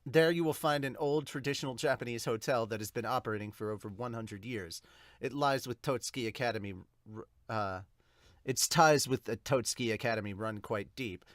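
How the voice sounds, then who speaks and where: A man's voice: mid-range voice, brisk, no echo, clean studio sound, pitched below the performer's own voice, natural voice. A man voicing a stern, composed young academy headmaster, a woman, in a formal and commanding manner for a manga dub. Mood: grave.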